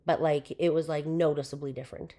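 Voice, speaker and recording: A young woman with a hoarse voice, chatting casually as a podcast guest, with lots of fillers. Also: low-pitched, steady tone, brisk, warm tone. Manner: chatty, with frequent fillers and laughs. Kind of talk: casual interview chat.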